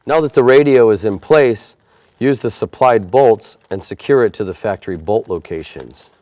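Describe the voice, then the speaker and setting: A man's voice: fairly low pitch, normal speed, darkish tone. A man presenting an installation tutorial, talking through each step in a plain, methodical, procedural way. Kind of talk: step-by-step instructional narration.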